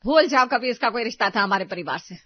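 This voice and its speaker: Lady doing a nasty bossy saas in a hindi story drama, harsh taunts, high voice, kinda muffled. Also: animated, fast, dry recording. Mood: scornful. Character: spiteful, domineering middle-aged mother-in-law, scolding and accusing. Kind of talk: Hindi audio story melodrama.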